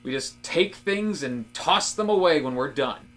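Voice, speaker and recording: A man's voice: on the high side, animated, measured pace, fairly bright tone. A man playing an eager, casual office worker in a comedic audio drama, rambling with earnest conviction. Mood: thoughtful conviction. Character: Rob, eager, casual male office worker with intense theories.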